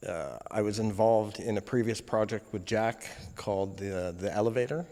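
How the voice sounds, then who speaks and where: A young man from Indonesia, a film editor being interviewed, talking casually with many pauses and fillers. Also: lowish voice, warm tone. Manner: hesitant, many fillers and restarts. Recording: long reverb on voice.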